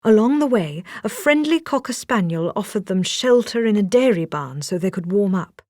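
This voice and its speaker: A woman's voice: mid-range voice, normal speed, dry recording. Woman reading a children's storybook, dry sound. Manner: expressive, dramatic storytelling. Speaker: storybook narrator. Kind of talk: scripted children's read-along narration.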